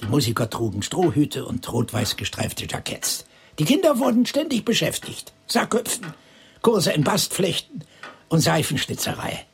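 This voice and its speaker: An actor playing an eccentric, excitable brother in a radio drama, with an emphatic, theatrical delivery. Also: male voice, a little high, moderate pace, a little hiss or hum. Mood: declaiming recollection.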